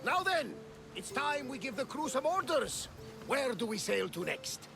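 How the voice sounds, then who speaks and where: An actor playing a jovial older ship captain in a game cutscene, hearty and boisterous, with a gravelly voice. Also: male voice, very high voice, very animated, unhurried, heavily acted. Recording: game cutscene with music behind.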